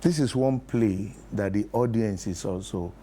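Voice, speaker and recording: A Nigerian man speaking as an interview guest, explaining deliberately in short rhetorical phrases. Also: lowish voice, mellow, a little hiss or hum. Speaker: interview guest, theatre producer.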